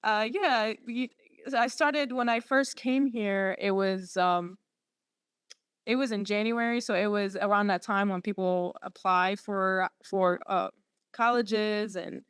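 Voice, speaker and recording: A woman in her late twenties, from Syria, speaks. Her voice is smooth and resonant, recorded close and dry. She tells her story earnestly, stopping often to restart her sentences. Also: medium pitch, steady tone, a bit bright, no echo. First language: Arabic. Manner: earnest, halting with many fillers and restarts. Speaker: podiatry student guest. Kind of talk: personal story in interview.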